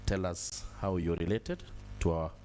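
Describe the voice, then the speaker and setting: A deep-voiced man acts as master of ceremonies. He talks very slowly and in a measured way, over a faint hum. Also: warm tone. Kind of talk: measured public hosting.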